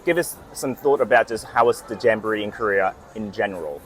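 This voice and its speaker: A man with a fairly low voice interviews passers-by on a city street. His talk is casual and friendly, full of short okays between questions. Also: rough recording. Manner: friendly, quick acknowledgements.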